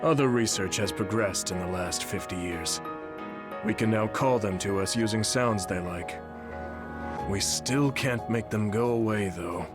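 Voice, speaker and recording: An actor playing a weary, remorseful elderly leader in a video game, speaking in a measured, grave way. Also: male voice, on the low side, lightly acted. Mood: calm, resigned. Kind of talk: dramatic video game voice acting. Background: music.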